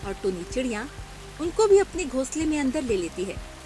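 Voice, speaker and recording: A woman's voice: sits high, big pitch swings, normal speed, warm tone. Woman narrating a children's cartoon. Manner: clear, storytelling. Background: background music.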